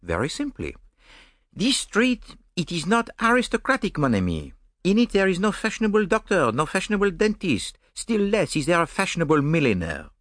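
A man's voice: high-pitched, wildly swooping pitch, normal speed, fairly bright tone, no room sound, studio quality, voice pushed up, heavily acted. A man voicing a vain, fussy middle-aged Belgian detective with a Belgian French accent and a smug, theatrical manner. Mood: vain lecturing.

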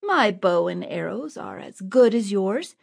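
A woman's voice: mid-range voice, measured pace. A woman voicing a proud, angry young male archer who speaks boastfully. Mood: defiant. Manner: boastful, defiant.

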